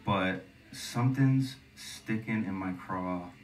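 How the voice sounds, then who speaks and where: A young man co-hosting a live podcast on a club stage with a handheld mic, rambling through stories and swinging between loud and soft. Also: sits low, slow pace, recorded from a distance, low-quality recording. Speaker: podcast co-host. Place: comedy club stage before an audience.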